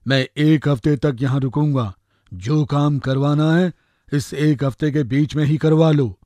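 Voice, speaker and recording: A man's voice: on the high side, fairly even intonation, measured pace, dark tone, no room sound, natural voice. Man voicing a confident magic talking eggplant, dry sound. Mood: matter-of-fact. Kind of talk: animated moral story voice acting.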